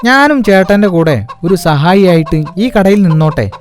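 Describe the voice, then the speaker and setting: A man voicing a sly chicken-thieving fox in a cartoon, flattering and scheming with exclamatory mock admiration. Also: sits high, moderate pace, a little hiss or hum. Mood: wheedling. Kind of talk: cartoon dialogue. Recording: music behind the voice.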